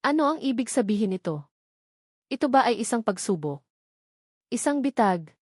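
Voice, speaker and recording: A woman narrating a romance story in a measured, dramatic storytelling manner. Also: fairly high pitch, big pitch swings, no echo, clean studio sound. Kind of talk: scripted storytelling.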